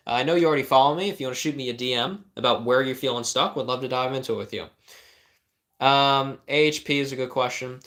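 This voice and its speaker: A man's voice: medium pitch, a bit bright. A young man, a life coach, reading viewers' questions on a livestream and answering with blunt, direct advice and rhetorical questions.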